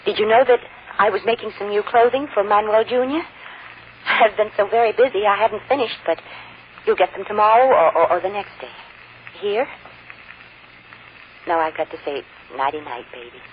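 A woman's voice: mid-range voice, moderate pace, long pauses, close to an everyday voice. An actress playing a loving mother in an old radio drama, speaking soothingly and affectionately. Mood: tender. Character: tender, loving young mother. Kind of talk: 1940s-50s radio drama acting. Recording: muffled, noisy old radio recording.

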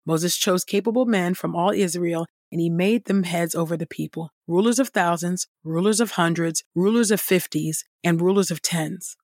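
A woman reading scripture and praying as a devotional host, with measured, reverent phrasing. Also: on the low side, a little mellow, no room sound, clean studio sound. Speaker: devotional podcast host and Bible reader.

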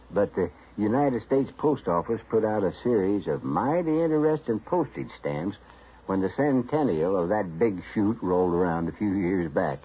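A man's voice: lowish voice, slightly rough. A man giving a folksy, drawling spoken introduction in a vintage radio broadcast. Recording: tinny old radio broadcast.

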